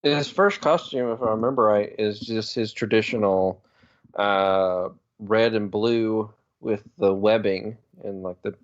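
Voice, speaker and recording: A man's voice: fairly low pitch, fairly even intonation, measured pace. A man co-hosting a podcast, chatting casually and a little hesitantly as he pieces answers together, on a slightly muffled mic. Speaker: podcast co-host. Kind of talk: casual chat.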